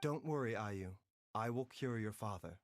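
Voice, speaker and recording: Composed doctor, dry close recording. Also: male voice, on the low side, normal speed, no echo. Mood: calm, reassuring. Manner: calm, assured, courteous. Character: composed, reassuring young male doctor. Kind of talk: video game voice acting.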